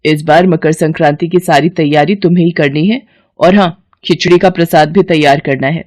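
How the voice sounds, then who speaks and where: A woman's voice: low voice, fairly even intonation, moderate pace, a little mellow, no room sound, clean studio sound. A woman voicing a stern, critical middle-aged mother-in-law in a family story. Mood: bossy.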